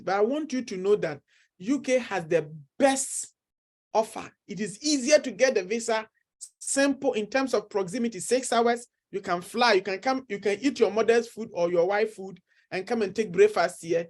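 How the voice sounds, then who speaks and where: A middle-aged man with a Ghanaian accent, a study-abroad consultant earnestly encouraging viewers and repeating his key points. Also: high-pitched. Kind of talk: persuasive talk to camera.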